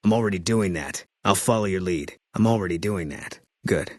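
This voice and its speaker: An actor playing a weary ex-sniper soldier in a video game, speaking in clipped, flat lines with a husky voice. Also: male voice, low voice, fairly quick, no echo, studio quality. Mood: terse. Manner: clipped, flat, few words. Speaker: game companion character. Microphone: dry studio mic.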